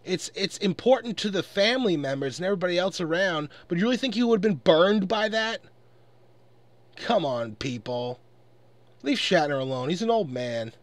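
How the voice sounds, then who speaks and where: Animated, high-voiced man ranting. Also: moderate pace, no room sound. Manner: profane, exasperated, emphatic. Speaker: online commentator recording an audio log. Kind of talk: angry off-the-cuff rant.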